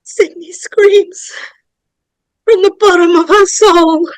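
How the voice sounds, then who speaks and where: A woman narrator reading horror screenplay stage directions in a dramatic, suspenseful way, with deliberate pauses. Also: extremely high pitch, steady tone, no echo. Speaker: narrator of a script table read.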